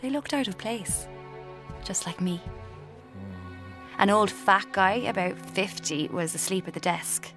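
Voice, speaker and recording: A young woman with an Irish accent acting a morbid, cheeky aspiring writer in a radio drama, narrating in a hushed, deliberate way. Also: medium pitch, faint music behind, lightly acted. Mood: morbid, brooding. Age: young adult.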